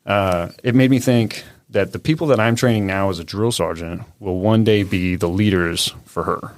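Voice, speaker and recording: A man's voice: low-pitched, normal speed, no echo. A man in his thirties from California, a soldier talking casually as a podcast guest, easygoing and loose with frequent filler words. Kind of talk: casual interview talk.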